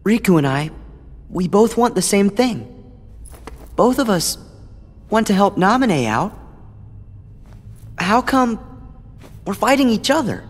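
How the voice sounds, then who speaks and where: A man's voice: high voice, very animated, takes it slowly, pauses often, big-room sound, full character voice. A male actor playing an earnest, stubborn teenage boy hero in a video game cutscene. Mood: troubled.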